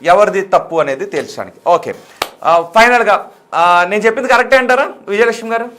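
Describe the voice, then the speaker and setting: A man's voice: sits high, very animated, fast talker, fairly bright tone, slight background noise. A man hosting a live call-in debate, addressing viewers insistently, repeating his appeals and mixing in English words. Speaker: live TV news debate host.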